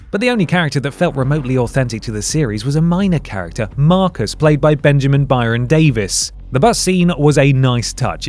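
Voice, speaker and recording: A man reads a scripted critique in a dry, sardonic tone. His voice sits in an ordinary middle pitch. Soft music plays faintly beneath him. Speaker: video game critic narrator. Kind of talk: scripted video essay narration. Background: faint background music.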